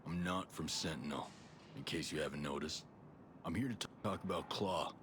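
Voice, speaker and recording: Very deep-voiced, steady special forces operative. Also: male voice, moderate pace, background noise. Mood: calm, businesslike. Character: steady gruff male special forces operative, quietly threatening. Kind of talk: video game cutscene acting.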